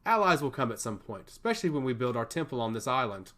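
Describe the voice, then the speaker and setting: Casting: male narrator as a smug fantasy emissary and teasing schemer, theatrical, with lively swooping pitch. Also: fast. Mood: gloating. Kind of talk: fantasy audio story character.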